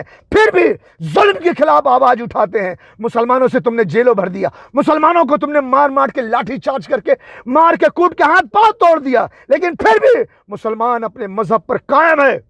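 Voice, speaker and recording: A man's voice: very high voice, creaky, fairly bright tone, no room sound. A man delivering an angry, confrontational political and religious tirade, taunting with rhetorical questions and emphatic repetition.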